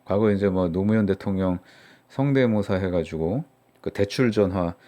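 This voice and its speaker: A man's voice: sits low, moderate pace, dark tone. Mocking Korean man on politics. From Seoul. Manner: mocking, rambling, many fillers. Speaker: political YouTuber. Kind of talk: casual political commentary.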